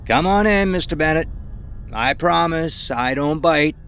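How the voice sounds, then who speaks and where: A man's voice: fairly high pitch, animated, fairly bright tone, slight background noise, pitched above the performer's own voice. A man voicing a smug, menacing old villain. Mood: taunting. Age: older. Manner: mocking, drawn-out, condescending. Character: sinister elderly bearded man, face bandaged, smug. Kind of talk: audiobook character voice.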